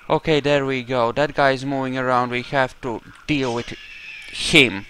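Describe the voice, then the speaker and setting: A man doing roleplay commentary over a game, musing aloud and explaining his character's reasoning in a deliberate way. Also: mid-range voice, steady tone, bright, forceful tone, slight background noise. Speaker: gamer narrating a roleplay playthrough.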